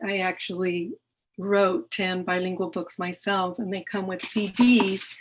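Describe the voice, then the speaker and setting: A woman's voice: mid-range voice, noisy background. A middle-aged woman giving an earnest presentation to a club about her nonprofit's work, with many fillers and restarts. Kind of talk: presentation talk with slides.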